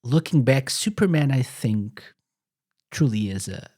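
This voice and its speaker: A man's voice: medium pitch, lively intonation, unhurried, warm tone, breathy edge. A man from Portugal hosting a podcast, telling rambling stories in casual chat and correcting himself as he goes. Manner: rambling, self-correcting storyteller. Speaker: podcast host.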